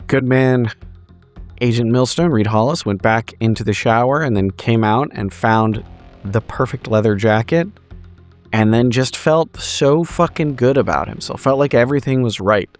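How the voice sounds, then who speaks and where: A man's voice: medium pitch, moderate pace, soft background music. A man who plays in a tabletop game, giving a conversational story recap with wry, literary and profane wording.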